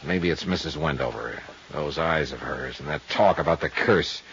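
An actor playing a tough, world-weary insurance investigator in a 1950s radio drama, speaking and narrating in a dry, wry, understated way. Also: male voice, a bass voice, moderate pace, fairly bright tone, a little hiss or hum. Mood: pensive, uneasy. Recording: muffled 1950s radio recording with music.

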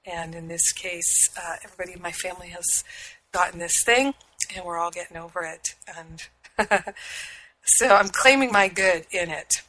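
A woman hosting a spiritual radio talk, her voice husky and hoarse from a cold, speaking slowly with light laughs. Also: on the low side, pushed and bright, poor audio. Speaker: radio show host and spiritual teacher.

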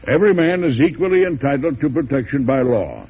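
An actor playing a gruff, hot-tempered old male president in vintage radio drama style, emphatic, hearty and oratorical. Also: medium pitch, expressive, a little fast. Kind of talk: 1940s-50s radio drama acting. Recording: dull vintage radio recording.

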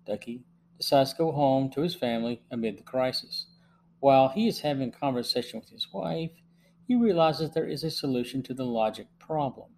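A middle-aged man narrates a podcast review from notes. His pitch is average and his delivery measured. A faint hum sits behind the voice. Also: darkish tone, low-quality recording. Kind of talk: scripted narration, plot summary and review. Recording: faint hum and background noise.

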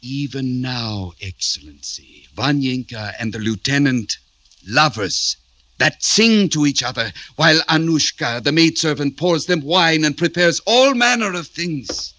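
An actor playing a sly, fearful serf informer in a 1950s radio drama, servile and pleading. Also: male voice, a little high, expressive, unhurried, pushed and bright, dry recording. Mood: sly, insinuating. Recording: vintage radio recording.

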